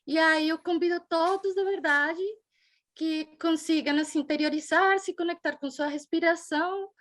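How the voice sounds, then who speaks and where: A woman with a Brazilian accent and a distinctly high voice hosts a gathering. She speaks affectionately and reflectively, gently inviting the group to be present. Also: fairly even intonation, normal speed. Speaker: event mediator and host. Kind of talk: heartfelt opening of a group gathering.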